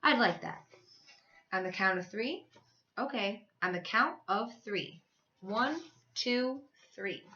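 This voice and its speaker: A woman's voice: medium pitch, lively intonation, takes it slowly, long pauses, sharp tone, highs cut off. A woman voicing blunt, touchy young schoolgirls from a storybook with exaggerated, emphatic delivery. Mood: shy, delighted.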